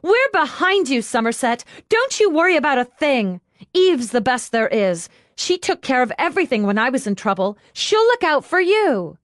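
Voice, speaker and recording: A woman's voice: high voice, sing-song, moderate pace, no echo, studio quality, pitched above the performer's own voice. A woman voicing a bubbly, brash, affectionate young woman who gushes in slang, in an audiobook. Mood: warmly encouraging. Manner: gushing, slangy, exclamatory.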